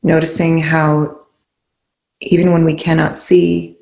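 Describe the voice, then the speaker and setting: A calm, soothing voice leading a guided meditation in gently spaced phrases. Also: mid-range voice, slow pace, vocal fry. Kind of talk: guided meditation, soft instruction. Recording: roomy, echoing indoor sound.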